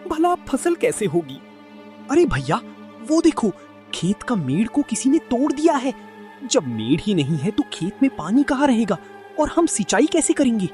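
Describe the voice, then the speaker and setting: A woman voicing a simple, excitable farmer man in a cartoon moral story, speaking in dramatic exclamations. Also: a little high, normal speed, soft background music. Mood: distraught.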